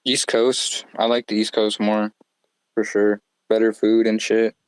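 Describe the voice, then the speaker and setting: A man's voice: lowish voice, little pitch movement, a little slow, smooth, dry recording. A young man from Florida, a podcast guest, talking in a laid-back, rambling, slangy way. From Northwest Florida. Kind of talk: casual podcast interview.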